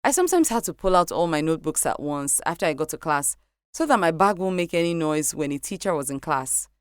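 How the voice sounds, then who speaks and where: A young Nigerian woman tells a scripted personal story in a low voice. Her pitch swings up and down as she narrates. It is a dry, close recording with no room sound. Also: a little fast, clear, resonant tone, no echo. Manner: lively, animated delivery with light laughs. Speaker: podcast narrator.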